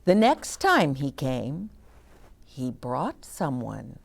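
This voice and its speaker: A woman's voice: very deep voice, huge pitch swings, extremely slow, gravelly, breathy edge. A woman reading a children's storybook aloud as a story time host, with short explanatory asides to young listeners.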